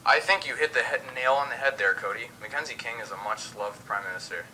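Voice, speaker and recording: A man's voice: medium pitch, brisk, sharp tone, a little hiss or hum, poor audio. A young man reading as co-host of an amateur mock 1920s radio show. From Canada.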